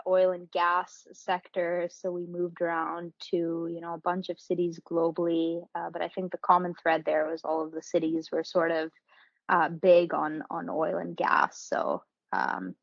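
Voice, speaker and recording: A woman talks as an interview guest in a reflective, conversational way. Her pitch is a little low for a woman. The recording is very dry and slightly dull, with no room sound. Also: creaky, dry recording. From born in Pakistan, grew up in several countries. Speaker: podcast guest, marketing professional.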